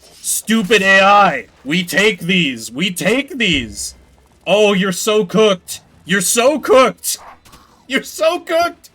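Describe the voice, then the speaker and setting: A man streaming a video game, commenting excitedly and swearing freely. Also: high-pitched, very slow. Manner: profane, animated. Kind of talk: excited gaming livestream commentary. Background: game music.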